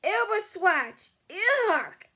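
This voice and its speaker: A woman's voice: very high voice, sing-song, drawn-out words, pushed and bright, voice pushed up, heavily acted. A woman voicing a huge, greedy, man-eating giant, bullying and insulting, with mangled comic grammar, for a storybook. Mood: disgusted fury.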